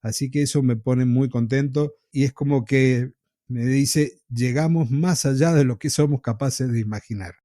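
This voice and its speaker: A man from Argentina with an Argentine accent, a podcast host telling his own story in an unhurried, reflective way. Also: medium pitch, no echo, clean studio sound. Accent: Argentine Spanish. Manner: reflective, unhurried, with pauses and soft laughs. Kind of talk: casual storytelling in an interview.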